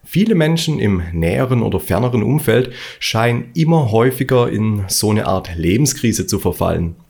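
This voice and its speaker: A man's voice: on the low side, expressive, normal speed, gravelly, a little mellow, slightly rough. A man from Stuttgart with a Swabian accent, hosting his own motivational podcast, talking bluntly and colloquially in a preachy solo monologue. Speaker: podcast host.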